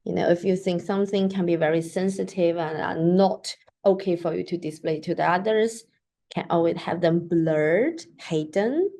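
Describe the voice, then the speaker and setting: A woman with a lowish voice, a native Mandarin speaker, demonstrates software features over a screen share. She talks in a loose, chatty way, leaning on fillers as she clicks through each step. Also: moderate pace. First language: Mandarin Chinese. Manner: chatty, with frequent "like" and "so that is to say". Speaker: software demo presenter. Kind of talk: casual screen-share tutorial. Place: at a computer, recording her screen.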